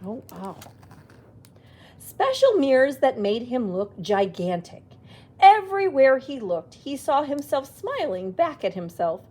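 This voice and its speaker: A woman reading a picture book aloud to children, expressive, with little exclaimed asides. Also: high voice, a little slow. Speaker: children's library storyteller.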